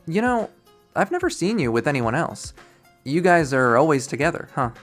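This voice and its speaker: An awkward teenage boy from a visual novel, as a narrator acts him out, earnest and lovestruck. His voice sits at a medium pitch with music playing behind. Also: very animated, moderate pace, natural voice.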